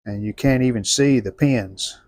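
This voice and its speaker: A man's voice: medium pitch, a little slow, a little mellow, no room sound. An American man narrating hands-on repair work in a folksy, plainspoken way, thinking aloud as he goes. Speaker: host of a radio restoration channel.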